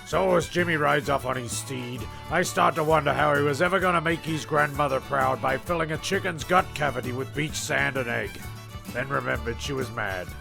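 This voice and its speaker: A man's voice: mid-range voice, normal speed, vocal fry, music in the background. A man playing a rambling, exasperated narrator of a comic castaway radio play. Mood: musing.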